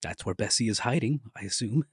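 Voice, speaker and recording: A man's voice: fairly low pitch, animated, husky, no echo, clean studio sound. A man playing a menacing, controlling figure in an audio drama, with a condescending, coldly threatening manner. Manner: deliberate, condescending, sinister.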